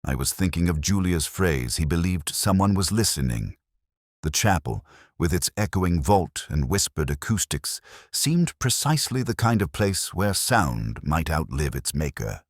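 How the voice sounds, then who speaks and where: A man reading a first-person mystery as the narrator, in a measured, deliberate and wry way. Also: sits low, sing-song, no echo, clean studio sound.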